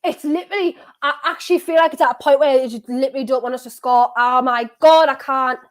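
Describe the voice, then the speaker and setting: A woman with a softened County Durham accent hosts a live football watchalong. Her voice sits a little high and she talks in a nervous, excitable ramble, often circling back to the same words. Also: fast. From North East England. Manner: anxious, excitable, rambling and self-repeating. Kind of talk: live match reaction chat.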